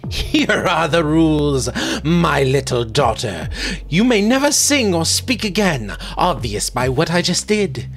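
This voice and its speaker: A man's voice: a little high, sing-song, a little slow, creaky, fairly bright tone, faint music behind, pitched above the performer's own voice, full character voice. A man voicing a cruel, scornful old sea witch, snappish and imperious, in a dramatic storybook reading. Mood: cruel gloating.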